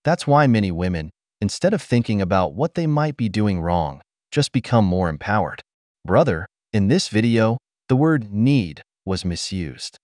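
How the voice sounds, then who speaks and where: A man's voice: on the low side, big pitch swings, normal speed, a little mellow, no echo, studio quality. A man reading scripted voice-over commentary in a lecturing, opinionated manner. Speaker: commentary narrator.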